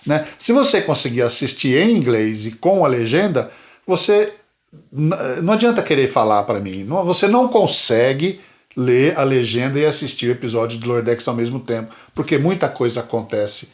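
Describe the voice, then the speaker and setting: A Brazilian man from Brazil co-hosting a casual podcast chat, animated and emphatic, often repeating words. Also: medium pitch, moderate pace. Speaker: podcast co-host.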